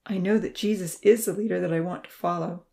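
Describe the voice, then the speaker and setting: Woman reading a calm, earnest uncle. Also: fairly low pitch, quick pace, vocal fry. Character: kindly uncle, a calm older man.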